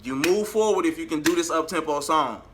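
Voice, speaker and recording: A man vlogging in a casual, colloquial storytelling style, animated and acting out bits of dialogue as he goes. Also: a little high, a little fast, noisy background. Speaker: vlogger and singer.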